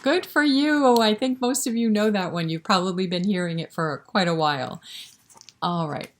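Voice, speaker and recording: A woman's voice: medium pitch, moderate pace, a little hiss or hum. A woman leading a storytime for young children, reciting nursery rhymes and talking to them directly in an encouraging, interactive way. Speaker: children's library storytime reader.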